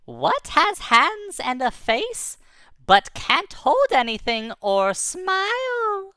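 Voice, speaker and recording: Woman putting on a tiny glowing riddle-master, male, squeaky and snarky, very high and bright, dry close sound. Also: dry recording, full character voice. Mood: teasing. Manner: squeaky, excitable, hurried.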